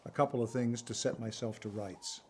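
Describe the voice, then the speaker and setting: A man's voice: medium pitch, fairly even intonation, brisk, darkish tone, a little hiss or hum, natural voice. A man playing a genteel, evasive wandering adept in an audio adventure, with a breezy manner.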